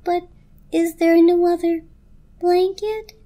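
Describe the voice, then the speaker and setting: A woman's voice: very high voice, fairly even intonation, slow, dark tone, pitched above the performer's own voice. A woman voicing a shy, anxious old electric blanket in a storybook, earnest and faltering. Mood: anxious, hopeful.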